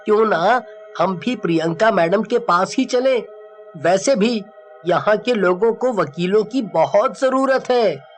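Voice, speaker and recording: A man voicing an eager young male law student in an animated story, speaking with enthusiasm. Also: high voice, unhurried, faint music behind. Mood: enthusiastic.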